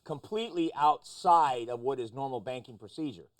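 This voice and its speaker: A man's voice: medium pitch, normal speed. A man hosting a news discussion, putting probing questions and cutting in to press the point. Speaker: talk show host. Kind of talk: interview-style discussion of news.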